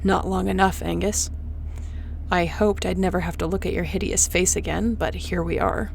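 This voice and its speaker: A woman plays a hard-edged space captain with a lowish voice and plenty of creak. She is commanding, sardonic and protective in this audio roleplay, with faint noise behind her. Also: fairly even intonation, normal speed, rough recording. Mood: defiant. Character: tough, defiant, protective female starship captain. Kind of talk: sci-fi audio roleplay acting.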